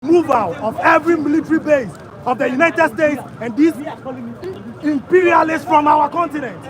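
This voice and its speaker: A man speaking at a street march, making forceful declamatory demands. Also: very high-pitched, moderate pace. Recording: loud crowd noise outdoors.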